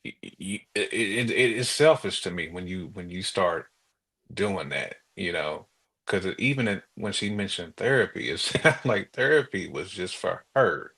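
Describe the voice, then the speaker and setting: Male podcast co-host, very low voice, casual talker who thinks out loud with repeated words. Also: animated, moderate pace, gravelly, husky. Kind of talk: casual conversational discussion.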